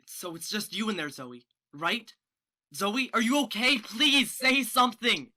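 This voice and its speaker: A woman's voice: medium pitch, expressive, a little slow, bright, forceful tone. A young woman playing an anxious, earnest teenage best friend in a student stage play, flustered and stammering. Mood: tender. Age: young adult. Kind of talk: student one-act stage acting.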